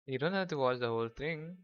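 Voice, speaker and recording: A man's voice: a little high, fairly bright tone. A man hosting a casual gaming livestream, chatting with viewers in a half-distracted way. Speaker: game streamer, host. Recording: dull, lo-fi microphone sound.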